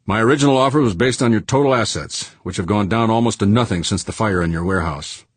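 A man's voice: on the low side, quick pace, husky, no room sound, voice pushed up. Man doing an arrogant, snappish male tycoon. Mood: pressing. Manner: snappish, sneering, defensive.

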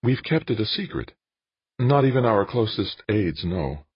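A man's voice: on the low side, very animated, measured pace, slightly rough, no echo, lo-fi sound, voice pushed up, full character voice. A man voicing an anguished woman politician in an audiobook, earnest and pleading with her lover. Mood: strained, reproachful. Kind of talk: audiobook character dialogue.

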